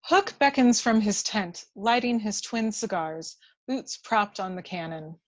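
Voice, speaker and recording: A woman's voice: mid-range voice, animated, measured pace. A woman reading stage directions as scripted narration at a table read of a film script.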